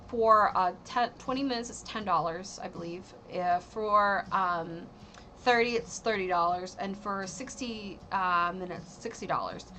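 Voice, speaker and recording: A woman's voice: on the low side, bright, forceful tone, slight background noise. A woman in her thirties giving a casual livestream tarot reading, rambling with lots of ums and likes. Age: 30s. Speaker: tarot reader and streamer.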